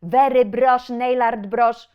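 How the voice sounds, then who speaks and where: A woman's voice: a little high, takes it slowly, clear, resonant tone, highs cut off. A woman from Poland with a Polish accent, hosting a podcast as a nail educator, talking animatedly with frequent restarts. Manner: animated, restarts words, loose English grammar. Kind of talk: casual chat.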